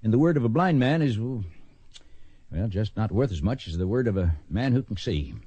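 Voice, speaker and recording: An actor playing a firm, folksy frontier judge in a western radio drama. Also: male voice, on the low side, lively intonation, moderate pace, warm tone, a little hiss or hum, natural voice. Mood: firm, regretful. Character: authoritative but kindly frontier judge.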